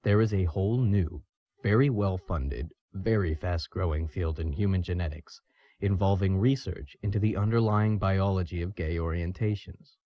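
An actor playing a grave, authoritative expert explaining things in an audio drama. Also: male voice, low voice, normal speed, no echo, muffled recording. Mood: grave, explanatory.

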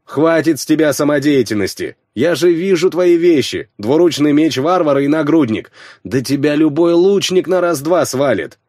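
A commanding male team captain, voiced by a man reading an audiobook, barks orders in exasperation. The scolding voice sits higher and louder, recorded dry. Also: lively intonation, normal speed, dry recording, natural voice. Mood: angry, urgent. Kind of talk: audiobook character voice.

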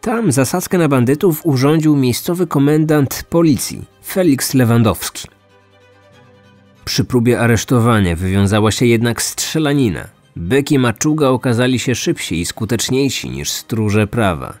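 A man's voice: medium pitch, animated, moderate pace, a little mellow. A man hosting a true-crime podcast, reading scripted storytelling narration. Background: music.

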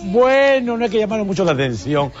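A man's voice: high-pitched, big pitch swings, brisk. A man playing a chatty, teasing female TV hostess who giggles through her gossip. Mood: gossipy. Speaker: show host. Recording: dull lo-fi with faint music.

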